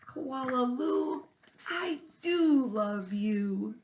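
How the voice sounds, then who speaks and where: A woman voicing a loving, devoted mother koala in a storybook, speaking slowly and affectionately. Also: sits high, lively intonation, darkish tone, slight background noise, pitched above the performer's own voice, full character voice. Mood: tender, loving. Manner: slow, drawn-out, affectionate delivery.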